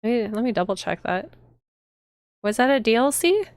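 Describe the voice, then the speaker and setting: A woman's voice: on the high side, very animated, moderate pace, clear, resonant tone, dry recording, clean studio sound. A woman chatting casually to viewers as she hosts a live game stream. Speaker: streamer and host.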